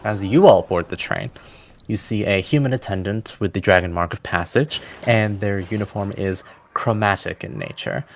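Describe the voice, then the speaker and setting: A man's voice: fairly low pitch, normal speed, a little hiss or hum. A man acting as game master, narrating scenes descriptively for a tabletop game. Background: background music.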